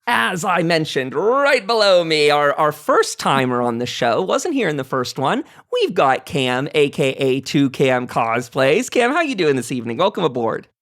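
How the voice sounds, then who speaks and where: A man hosting a gaming livestream round table in a talkative, informal, animated way. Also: on the high side, normal speed, hardly any pauses.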